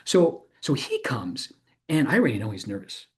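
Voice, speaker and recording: Casting: actor for an informal, student-devoted university instructor. Medium pitch with swooping, animated delivery, chatty stage monologue that keeps restarting itself. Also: moderate pace, natural voice. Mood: amused, wry. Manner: animated, rambling, self-interrupting. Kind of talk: conversational interview-style dramatic monologue.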